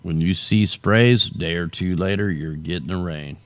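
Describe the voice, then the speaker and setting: A man's voice: low-pitched, normal speed, no echo. A man hosting a casual weather commentary, tired and losing his voice, talking loosely and tacking "man" onto his sentences. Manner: loose, conversational, drawls "man" at line ends. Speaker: show host. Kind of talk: casual weather commentary over satellite maps.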